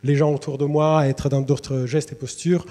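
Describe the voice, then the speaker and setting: A man's voice: fairly high pitch, level tone, normal speed, dark tone. A man, a literary translator, giving a presentation to students, hesitant with many fillers and restarts. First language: Italian. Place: university lecture hall. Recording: long reverb in a large hall.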